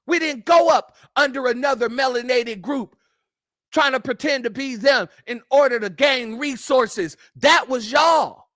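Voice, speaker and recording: A man's voice: extremely high pitch, a little slow, fairly bright tone, no echo, studio quality. A man hosting a livestream, delivering fiery, emphatic and profane preaching-style commentary and thanking donors by name. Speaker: livestream host.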